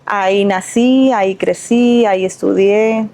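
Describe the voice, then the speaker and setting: Mellow-voiced woman. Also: mid-range voice, steady tone, measured pace. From Sinaloa, Mexico. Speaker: guest, entrepreneur. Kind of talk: casual interview storytelling.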